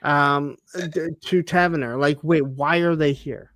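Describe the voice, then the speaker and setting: A man's voice: on the high side, steady tone, unhurried, fairly bright tone, no echo, clean studio sound. A man co-hosting a TV recap in casual chat, picking at the story with rhetorical questions. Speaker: co-host of a TV recap show.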